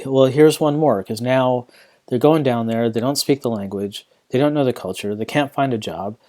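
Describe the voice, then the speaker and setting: A man's voice: medium pitch, dark tone. A man hosting a podcast, talking through his views in a loose, rambling way with frequent restarts and fillers. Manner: rambling, many restarts and "you know" fillers. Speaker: podcast host. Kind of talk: casual solo podcast commentary.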